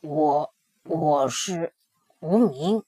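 A woman voicing a big, gruff male tyrannosaurus in a children's storybook, slow and low with a husky growl. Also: dark tone, dry recording, heavily acted.

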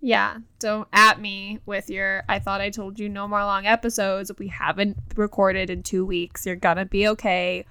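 A young woman co-hosting a podcast in casual chat, her voice croaky, giggly and self-mocking. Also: mid-range voice, little pitch movement, moderate pace, hardly any pauses, bright, forceful tone. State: croaky from a cold-like voice. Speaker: podcast co-host.

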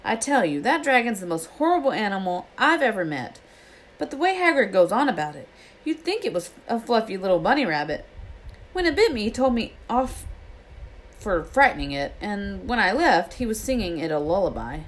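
A woman's voice: fairly low pitch, animated, moderate pace, hardly any pauses, fairly bright tone, rough recording. A woman voicing a grumbling, incredulous schoolboy sidekick in a storybook, complaining emphatically.

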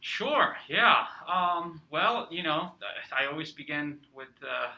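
A man from Peru in his thirties, chatting as a podcast guest, telling stories warmly with lots of fillers. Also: on the high side, expressive, slow pace, gravelly, rough recording. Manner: warm storytelling with many fillers. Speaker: guest, cultural center director. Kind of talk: casual interview chat.

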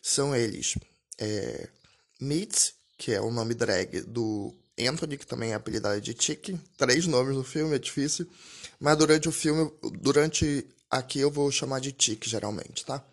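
A man's voice: medium pitch, unhurried. Chatty young man reviewing a film. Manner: chatty, full of "né?" and restarts, laughs at himself. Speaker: podcast host. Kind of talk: casual solo podcast film review.

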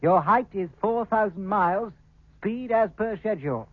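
An actor playing an efficient, formal moon-base control operator in a 1950s radio serial. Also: male voice, high-pitched, animated, normal speed, no echo. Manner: formal, procedural. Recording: dry, muffled vintage radio broadcast.

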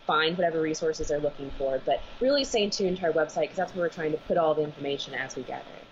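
Casting: lower-voiced woman vet, earnest guest, muffled call audio, faint hiss. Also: lively intonation, quick pace. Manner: earnest, thinks aloud with restarts. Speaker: veterinarian and animal massage school director. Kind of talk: remote interview guest. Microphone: video call.

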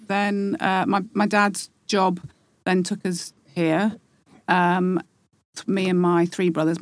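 A woman's voice: fairly low pitch, no echo. A middle-aged woman from England with a faded Geordie accent, talking reflectively as a podcast guest and rambling with many restarts. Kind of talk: casual interview chat.

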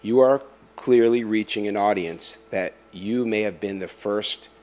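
Deep-voiced man hosting an interview. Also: darkish tone, a little hiss or hum. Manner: halting, many restarts and fillers. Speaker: interviewer host. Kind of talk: conversational interview.

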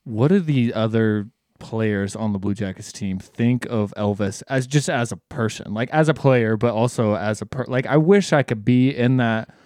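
A man's voice: fairly low pitch, normal speed, few pauses, darkish tone, no room sound, studio quality. A man co-hosting a sports podcast, musing in a conversational way. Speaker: podcast co-host. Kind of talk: casual sports chat.

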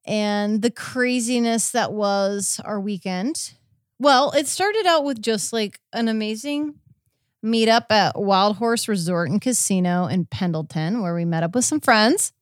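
An American woman talks casually as a podcast host, at an average pitch for a woman. The recording is dry and close, with very little room sound around her voice. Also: unhurried, no room sound. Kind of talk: casual conversational podcast chat. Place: indoors at home.

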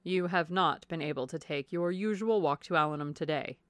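Female reader as sociable country gentleman for a classic audiobook; courteous, hearty, slightly lowered voice. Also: moderate pace, no echo, lightly acted. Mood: jovial teasing. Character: sociable, genial country baronet, mildly put out. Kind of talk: audiobook character voice, classic novel.

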